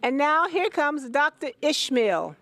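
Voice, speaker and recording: A woman's voice: sits high, big pitch swings, unhurried, fairly bright tone. A woman interviewing guests at an event as the host, repeating their answers aloud for the audience.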